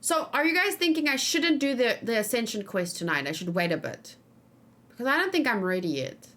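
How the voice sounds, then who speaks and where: A woman's voice: a little high, sing-song, fairly quick, a bit bright. A woman streaming a video game, thinking aloud informally and talking casually with her viewers. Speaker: video game streamer, let's play host. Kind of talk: casual live game commentary, chatting with viewers.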